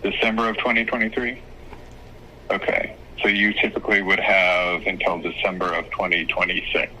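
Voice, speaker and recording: A man speaking as an attorney, giving advice on a phone call in a measured, hesitant, explanatory way. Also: sits low, level tone, sharp tone. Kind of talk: phone consultation, casual advice. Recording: phone call with faint noise.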